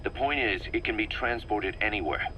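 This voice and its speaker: An actor playing a serious military second-in-command in a video game, giving matter-of-fact explanatory briefings. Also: male voice, lowish voice, moderate pace, pushed and bright, slight background noise, low-quality recording. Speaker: military deputy briefing his boss.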